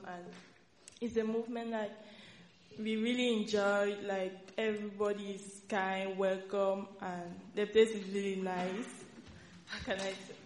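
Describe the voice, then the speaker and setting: A woman's voice: medium pitch, a little mellow, a little hiss or hum. Hesitant young woman in an echoey hall. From Cameroon. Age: young adult. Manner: hesitant, searching for words, many likes. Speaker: student speaker. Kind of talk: nervous informal speech to a congregation. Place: church hall. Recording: echoey hall with faint ambience.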